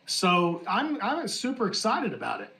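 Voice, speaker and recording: A man co-hosting a casual wrestling podcast, pitching ideas with enthusiasm. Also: wildly swooping pitch, unhurried, vocal fry, bright, forceful tone, distant mic, rough recording.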